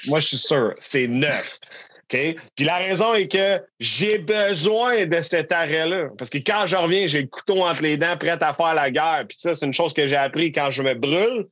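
A man's voice: fairly high pitch, normal speed, a bit bright, no echo, lo-fi sound. A man in his thirties with a Québécois accent, co-hosting a business podcast in a candid, emphatic, slangy way. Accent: Québécois French. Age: 30s. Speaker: podcast co-host. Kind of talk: casual business chat.

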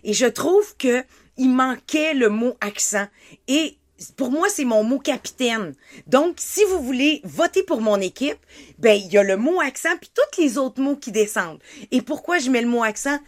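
A woman's voice: high voice, normal speed. A woman from southern France, with a mixed southern French and Québécois accent, arguing her point animatedly in a casual game panel. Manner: animated, talks over others. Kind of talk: casual chat.

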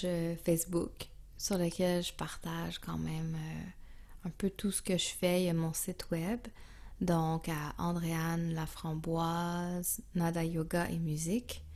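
A woman with a Quebec French accent speaking as an interview guest, calm and reflective, searching for her words. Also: lowish voice, measured pace. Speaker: interview guest, yoga and music teacher. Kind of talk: reflective, casual interview talk.

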